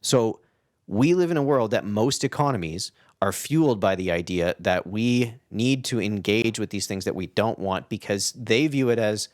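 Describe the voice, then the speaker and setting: A man's voice: lowish voice, moderate pace, dry recording, studio quality. A man co-hosting a casual podcast discussion, explaining his thoughts reflectively and often checking in with the listener. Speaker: podcast co-host.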